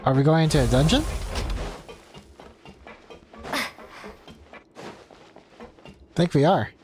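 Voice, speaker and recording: A man's voice: on the high side, very animated, moderate pace, darkish tone, soft background music. A man streaming a game, giving casual live commentary as he plays.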